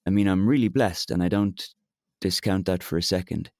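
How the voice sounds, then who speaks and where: A young man from Ireland with an Irish accent, a bit hoarse today, talking openly in a therapy podcast and pausing often with fillers and restarts. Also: sits low, a little mellow, dry recording, clean studio sound. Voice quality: hesitant, many fillers and restarts. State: slightly hoarse from shouting. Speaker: podcast co-host, client. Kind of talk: candid therapy conversation.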